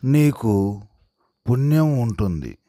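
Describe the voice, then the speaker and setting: A man reading as a pitiful, pleading mother cow, very slow. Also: mid-range voice, sing-song, dark tone, no echo, studio quality. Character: pleading gentle mother cow in a moral storybook.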